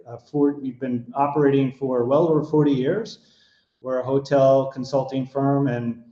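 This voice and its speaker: A man's voice: medium pitch, dark tone, reverberant, lo-fi sound. A middle-aged man with an American accent hosting an online webinar, talking with many fillers and restarts. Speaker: webinar host and panel moderator.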